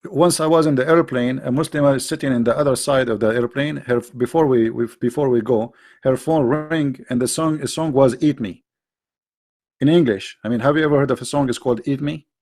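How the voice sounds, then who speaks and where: Emphatic gravelly man lecturing on a stream. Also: mid-range voice, brisk, darkish tone. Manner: emphatic, lecturing, with frequent restarts and non-native grammar. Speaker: live stream host. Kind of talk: religious commentary on a live stream.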